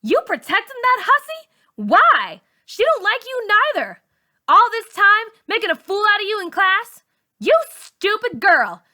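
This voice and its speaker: A woman storyteller puts on a mean schoolgirl bully, high-pitched and hard-edged. She is bossy and taunting, throwing out threats with loud force, as in a dramatic storybook reading. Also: very animated, a little slow, heavily acted. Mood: angry, threatening. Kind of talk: dramatic audiobook character voice.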